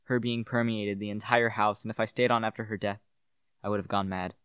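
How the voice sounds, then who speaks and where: A man's voice: on the low side, steady tone, fairly quick, a bit bright, clean tone, no room sound, natural voice. A young man playing a brooding, grieving widower and devoted husband in a solemn, theatrical radio play. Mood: grieving, haunted. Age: young adult. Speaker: student actor. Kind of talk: radio play acting and monologue.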